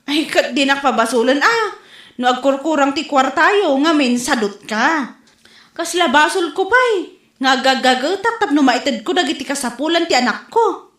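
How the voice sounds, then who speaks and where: Woman acting indignant high-voiced younger sister, Ilocano accent. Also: lively intonation, moderate pace, a bit bright. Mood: scolding. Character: younger sister, fed-up working mother standing her ground. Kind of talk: Ilocano comedy-drama acting. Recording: roomy echo.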